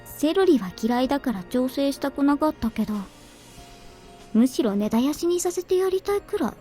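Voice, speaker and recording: A cool young woman in a visual novel, high-pitched yet mellow. She speaks quickly and bluntly, in an understated, earnest way, over soft game music. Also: expressive. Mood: matter-of-fact. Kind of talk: Japanese visual novel voice acting.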